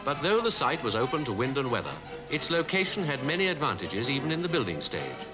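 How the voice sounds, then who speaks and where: A man with a British Received Pronunciation accent reading scripted 1950s newsreel narration in a formal, measured way. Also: medium pitch, big pitch swings, music in the background, rough recording. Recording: 1950s newsreel archive soundtrack.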